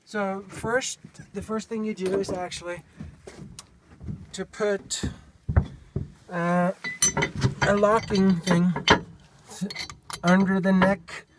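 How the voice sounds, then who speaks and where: A Norwegian man with a Norwegian accent, showing a hitch step by step in a halting way, full of fillers, recorded outdoors on a camera mic. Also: sits high, flat delivery, noisy background. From Norway. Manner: halting, searching for words, many fillers. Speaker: DIY vlogger. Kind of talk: hands-on how-to demonstration. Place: outdoors by a pickup truck bed.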